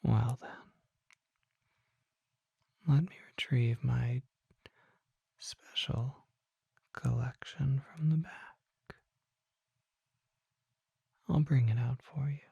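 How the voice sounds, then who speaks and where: An ASMR performer voicing a mysterious, suave vampire apothecary merchant in a breathy whisper, with measured, formal and coy phrasing. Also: mid-range voice, fairly even intonation, mellow, no echo, dull audio, heavily acted. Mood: coy, inviting. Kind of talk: ASMR roleplay, soft whispering.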